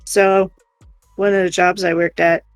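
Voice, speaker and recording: A woman's voice: fairly low pitch, little pitch movement, measured pace, no room sound, soft background music. A woman co-hosting a livestream in casual, rambling chat. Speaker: livestream co-host.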